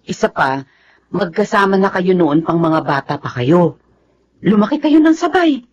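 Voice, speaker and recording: A husky woman's voice swings widely in pitch. a nosy, supportive married village friend in a Tagalog radio drama, expressive and full of questions. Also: measured pace, muffled recording. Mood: agreeing, musing. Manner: expressive, inquisitive.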